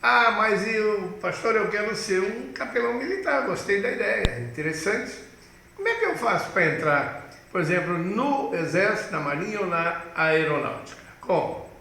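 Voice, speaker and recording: A man's voice: high-pitched, expressive, moderate pace, vocal fry, sharp tone, voice pushed up, full character voice. Man playing an eager young male student, hesitant, stumbling, very echoey room. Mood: questioning. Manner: hesitant, stumbling over words. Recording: echoey room, faint background hiss.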